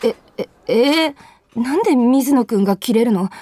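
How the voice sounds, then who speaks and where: High-voiced, excitable young office woman. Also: moderate pace, no echo, studio quality. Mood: flustered, defensive. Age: young adult. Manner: insistent, excitable. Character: pushy, flustered young office woman, expressive. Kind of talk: Japanese radio drama acting with inner monologue.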